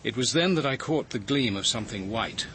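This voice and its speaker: An actor playing a grave, reflective detective, narrating in a measured, literary way in a radio drama. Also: male voice, mid-range voice, animated, noisy background, lightly acted. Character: grave, reflective male police detective recounting his evening.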